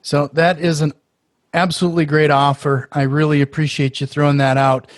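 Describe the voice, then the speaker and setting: A man hosting a podcast in casual chat, talking in quick restarts with filler words and eager reactions. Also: on the high side, level tone, dry recording, studio quality. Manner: friendly, stumbling restarts and "uh", enthusiastic reactions. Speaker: podcast host.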